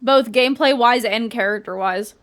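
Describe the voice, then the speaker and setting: A woman's voice: a little high, moderate pace, a little hiss or hum. A woman game streamer giving casual live commentary, chatty, with gushing asides.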